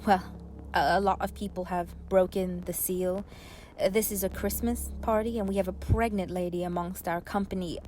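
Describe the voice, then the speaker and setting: A woman's voice: on the low side, fairly even intonation, moderate pace, a little mellow, slight background noise, natural voice. An actress playing a polite, hesitant but bold female hostage in a radio play, with a dry delivery. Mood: awkwardly polite.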